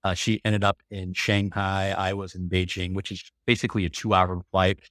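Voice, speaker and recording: A man's voice: sits low, flat delivery, moderate pace, a bit bright, no echo. A man in his forties with an American accent, telling his life story as a podcast guest, casual and rambling with many fillers. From California.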